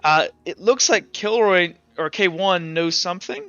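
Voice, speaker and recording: A man's voice: high voice, measured pace, a bit bright, clean tone, no room sound, lo-fi sound. A man working as game master, casually improvising narration and rulings for a tabletop game.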